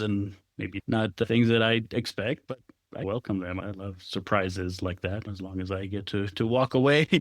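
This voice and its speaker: Middle-aged man from Israel, low voice, dry close sound, reflective storyteller who laughs easily. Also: no room sound. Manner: thoughtful, laughs often while telling stories. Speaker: guest photographer. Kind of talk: reflective conversational interview.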